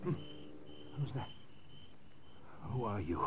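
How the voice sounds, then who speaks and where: An actor in a 1940s radio drama playing a dazed, exhausted American prisoner of war who stammers faintly and desperately. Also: male voice, lowish voice, lively intonation, noisy background. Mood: dazed, disbelieving. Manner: halting, faint, breathy stammer. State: weak and exhausted. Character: weak, dazed, desperate imprisoned American flyer.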